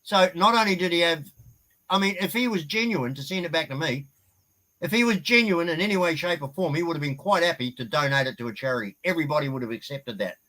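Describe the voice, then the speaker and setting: A man's voice: fairly high pitch, lively intonation, fast talker, sharp tone, a little hiss or hum, rough recording. A man, a guest on a casual live-stream chat, speaking bluntly and swearing casually.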